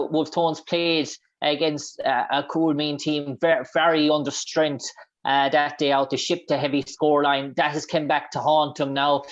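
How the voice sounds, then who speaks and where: A man with an Irish accent presenting a radio sports show, asking long questions full of restarts and fillers. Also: a little high. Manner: halting, many restarts and fillers. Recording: dull lo-fi sound.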